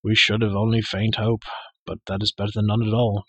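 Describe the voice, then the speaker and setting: A man voicing a calm, wise warrior lord who speaks in a measured, grave and gentle way. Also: on the low side, breathy edge, no echo. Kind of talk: audiobook character voice.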